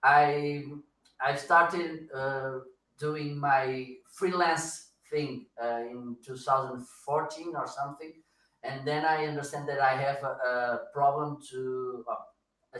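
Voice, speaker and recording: A Portuguese man with a Portuguese accent, a guest chatting on a live stream in his second language. He talks haltingly, searches for words and laughs often. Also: medium pitch, a bit bright, recorded from a distance. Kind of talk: casual live-stream chat. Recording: roomy echo, slightly off-mic.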